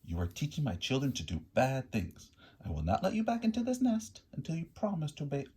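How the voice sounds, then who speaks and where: Bossy mother bird, played by a man in a storybook, shrill and loud, very lively pitch. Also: a little fast, slightly rough. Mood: scolding.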